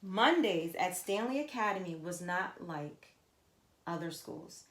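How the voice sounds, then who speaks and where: A woman reading a children's story aloud like a teacher, with emphatic, expressive narration addressed to young listeners. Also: lowish voice, unhurried. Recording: echoey, roomy sound.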